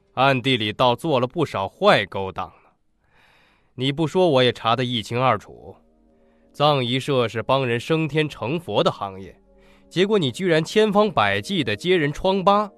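An actor playing a curt, commanding, crooked company president with a sharp tongue in an audio drama. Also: male voice, medium pitch. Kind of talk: Chinese audio drama acting.

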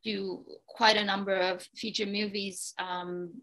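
A woman's voice: medium pitch, flat delivery, a little slow, a bit bright. Romanian-accented woman on a video call. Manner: hesitant, many ums and restarts. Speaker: guest, real estate broker. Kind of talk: casual interview talk. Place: home room, indoors.

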